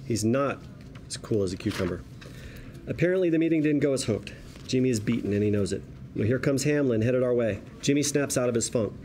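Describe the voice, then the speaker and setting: A man's voice: mid-range voice, expressive, a little fast, dark tone, slight background noise. A man reading screenplay stage directions aloud as narrator, in a wry, colloquial storytelling manner. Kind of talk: scripted screenplay narration, present tense.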